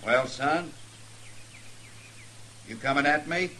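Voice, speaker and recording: A man's voice: fairly high pitch, slow, pushed and bright. An American man playing a weary, proud former lawman and feared gunfighter in a 1950s Western radio drama. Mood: cool, daring. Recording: old radio recording with faint noise.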